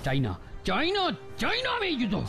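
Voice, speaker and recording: A man playing a cunning, excitable sports coach in a cartoon dub, emphatic and given to repeating words. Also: very high voice, fairly quick, vocal fry, over music, exaggerated voice. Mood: furious, frantic. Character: scheming male running coach, excitable and cunning. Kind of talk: cartoon dubbing.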